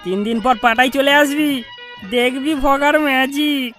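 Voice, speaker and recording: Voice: sits high, fairly even intonation, moderate pace, music in the background, lightly acted. A performer voicing a brash, scheming young male prankster in a comedy cartoon, bossy, teasing and slangy.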